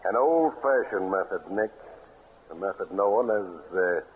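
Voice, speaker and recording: An old radio recording, dull and noisy, carries a sly ex-convict crook. His voice sits low, and he talks in tough, wisecracking slang with menace under it, in western crime drama style. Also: animated, a little slow. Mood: sly, scheming.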